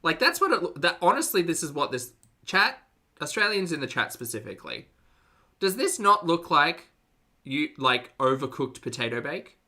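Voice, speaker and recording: A man's voice: fairly high pitch, big pitch swings, moderate pace, bright, forceful tone. A man hosting a reaction stream, chatting casually to his live audience with dry, teasing sarcasm. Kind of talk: casual live commentary to a chat.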